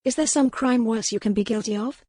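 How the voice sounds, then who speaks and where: A woman's voice: on the high side, brisk, dark tone, no echo, studio quality, pitched above the performer's own voice, lightly acted. A woman voicing a strong-willed captive noblewoman in an audiobook, formal, pointed and challenging. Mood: wry, challenging. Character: defiant, sharp-witted captive noble lady, strong-willed. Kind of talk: audiobook character dialogue.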